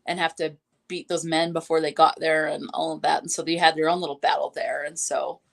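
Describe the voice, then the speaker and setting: A woman's voice: deep voice, little pitch movement, a little fast, creaky. A woman co-hosting a casual book discussion, speaking in a tentative, reflective way. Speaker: co-host.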